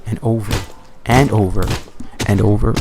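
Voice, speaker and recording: A man's voice: on the low side, fairly even intonation, creaky, noisy background. A man narrating a comic horror story as a casual, foul-mouthed storyteller, leaning on words for emphasis. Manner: profane, informal, drawn-out emphasis.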